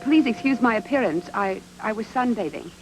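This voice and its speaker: An actress playing a self-possessed, teasing noir femme fatale in a radio drama, with sly, clipped banter. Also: female voice, a little high, animated, brisk, a little mellow, soft background music, lightly acted, poor audio. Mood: guarded.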